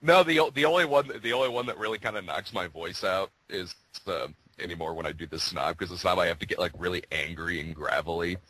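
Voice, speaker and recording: A man's voice: low-pitched, quick pace, few pauses, pushed and bright. A man being interviewed in a casual chat, joking and rambling with fillers, his voice starting to go. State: losing his voice. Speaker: interview guest.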